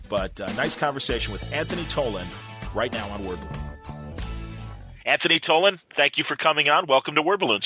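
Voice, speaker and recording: An American man hosting a podcast in a casual, informal way, speaking as an enthusiastic fan. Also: mid-range voice. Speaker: podcast host, radio broadcaster. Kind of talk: casual interview and introduction.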